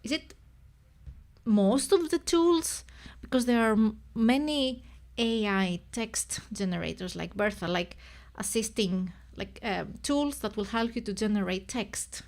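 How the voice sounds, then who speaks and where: A woman's voice: mid-range voice, measured pace, a little mellow. Greek-accented woman host, native Greek speaker, loose live-stream chat that wanders and self-corrects. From Greece. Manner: rambling, self-correcting, thinking aloud.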